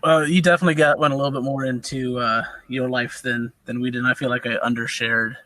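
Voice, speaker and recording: A man's voice: medium pitch, little pitch movement, quick pace, bright, forceful tone, slight background noise. A man in his thirties, co-hosting a casual gaming podcast, talking with many fillers and restarts. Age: 30s. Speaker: podcast co-host. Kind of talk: casual chat.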